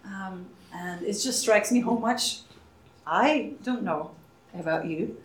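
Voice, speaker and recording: A woman minister leading a church service, speaking softly and unhurriedly, with frequent hesitations, sometimes dropping to a near whisper. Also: mid-range voice, breathy edge, poor audio. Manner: soft, unhurried, hesitant with fillers. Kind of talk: leading worship and interviewing a church member.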